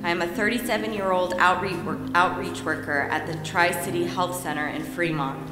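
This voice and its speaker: A woman reads solemnly in a low voice. The church is very echoey and loud music plays behind her. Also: steady tone, a little fast. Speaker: volunteer reader. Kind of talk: solemn reading aloud. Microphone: lectern microphone.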